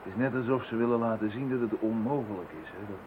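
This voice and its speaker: An actor playing a good-humoured convalescing police inspector in a radio play, chuckling and playful in manner. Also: male voice, mid-range voice, fast, narrow, tinny audio, lightly acted. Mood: wry, playful. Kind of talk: radio drama acting. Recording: thin, noisy old radio drama recording.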